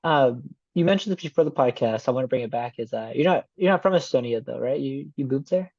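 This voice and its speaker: A man hosting a podcast, asking curious questions in a relaxed, casual way. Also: mid-range voice, quick pace, no room sound. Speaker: podcast host. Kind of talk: casual interview chat. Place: San Diego. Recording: dull dry lo-fi sound.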